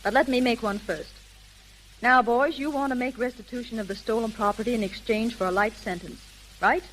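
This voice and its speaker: A woman's voice: fairly high pitch, brisk, a bit bright, close to an everyday voice. An actress playing an earnest, resolute young woman who runs a detective agency, in 1930s American radio drama style. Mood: firm, commanding. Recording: sizzling, noisy old 1930s radio recording.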